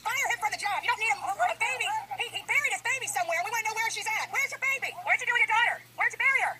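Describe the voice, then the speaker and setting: A woman's voice: extremely high pitch, animated, racing, sharp tone. A woman protester heckling in an accusatory, insistent way outdoors on a public street. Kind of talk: confrontational heckling. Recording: very noisy outdoor clip.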